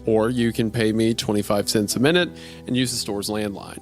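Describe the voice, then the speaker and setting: A man's voice: fairly low pitch, fairly even intonation, moderate pace. A man narrating a strange first-person horror-comedy story in a wry, conversational way. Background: faint music.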